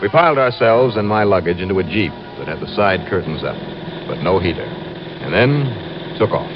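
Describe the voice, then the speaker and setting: A man acting as a wry, brisk insurance investigator in a 1950s American radio drama, with clipped, punchy delivery. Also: low-pitched, lively intonation. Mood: dry narration. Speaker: lead actor and narrator. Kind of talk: 1950s radio detective acting, first-person narration. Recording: muffled old radio recording with music.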